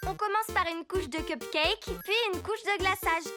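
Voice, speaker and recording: A woman voicing an earnest, encouraging young girl superhero who leads her team in an animated cartoon. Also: very high voice, normal speed, music in the background. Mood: eager, sincere.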